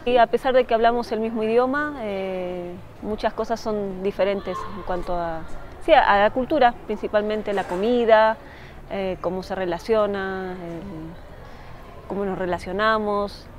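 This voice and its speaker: Woman in an interview, middle pitch, kinda hesitant, some faint noise behind. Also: a little slow. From Argentina. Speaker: documentary interviewee. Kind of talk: informal interview answers. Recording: faint background ambient noise.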